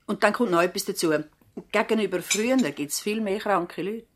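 An actress playing a long-serving practice assistant and housekeeper in a radio drama, conversational, polite and plain-spoken, with a Swiss German accent. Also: female voice, medium pitch, moderate pace, a little hiss or hum, natural voice.

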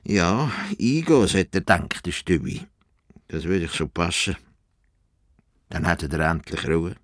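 Grumbling, stubborn old Swiss farmer. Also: male voice, low-pitched, lively intonation, measured pace. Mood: grumbling, bitter. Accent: Swiss German. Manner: grumbling, defiant, emphatic. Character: stubborn, indignant old male Swiss farmer and self-appointed world reformer. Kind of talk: radio play acting.

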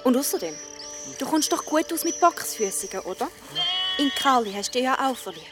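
A woman playing a cheeky, sharp-witted young sister with a Swiss German accent and a teasing manner, in a children's radio play. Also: high-pitched, lively intonation, brisk. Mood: mocking. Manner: teasing, mock-dramatic. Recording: music behind the voice.

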